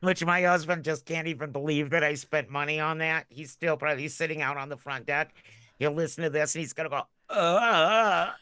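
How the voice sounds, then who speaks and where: A woman co-hosting a podcast, chatting casually through jokey anecdotes and acting out little quotes in an animated way. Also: low-pitched, normal speed, clear, resonant tone, dry recording. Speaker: podcast co-host.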